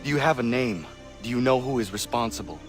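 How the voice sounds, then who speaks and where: An actor playing an earnest, righteous young half-Mohawk assassin in a video game, with formal, measured phrasing. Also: male voice, mid-range voice, animated, soft background music, lightly acted. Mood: concerned. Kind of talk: dramatic video game acting.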